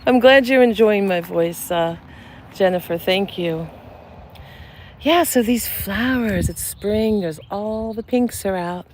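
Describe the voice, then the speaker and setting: Soft-spoken woman live-streaming outdoors. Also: medium pitch, slow. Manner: soft-spoken, gentle and soothing. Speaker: psychic medium and channel host. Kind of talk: casual live-stream chat while walking. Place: outdoors in a cemetery among cherry trees. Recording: outdoor live stream with clear ambient noise. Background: birds and passing traffic.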